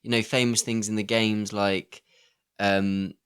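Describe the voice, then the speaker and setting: Low-voiced male podcast co-host, casual. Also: little pitch movement, unhurried, a bit bright, clean tone. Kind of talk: casual film discussion chat.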